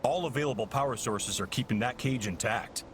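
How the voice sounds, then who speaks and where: A man performs a calculating commander for a video game. He sounds smug and controlled at an average pitch, speaking precisely over clear background music. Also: a little fast, close to an everyday voice. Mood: cool, explaining. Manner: articulate, condescending.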